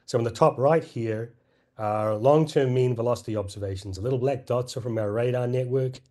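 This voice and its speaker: A middle-aged man presenting at a scientific conference, hoarse and clearing his throat, with many fillers and restarts. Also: mid-range voice, a little fast, dark tone.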